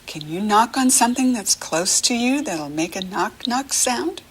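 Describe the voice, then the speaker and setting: A woman with an American accent leads a picture-book reading lesson for small children. Her voice is breathy and a little husky, and she takes her time. She speaks in short, simple, encouraging phrases, pausing to let the child answer. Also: a little high, expressive. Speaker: reading teacher for young children.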